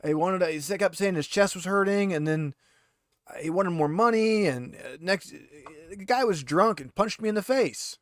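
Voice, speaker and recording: A man's voice: high voice, moderate pace, no echo, clean studio sound, close to an everyday voice. Man acting out a sputtering, defensive handcuffed prisoner. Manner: sputtering, indignant, stammering. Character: short balding insurgent prisoner, defensive and evasive.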